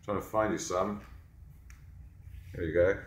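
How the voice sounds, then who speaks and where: Low flat-voiced man touring a mural. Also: unhurried, echoey room. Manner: relaxed, offhand, pointing things out. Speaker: muralist giving a walkthrough. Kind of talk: casual narrated video tour. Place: indoor office lunchroom.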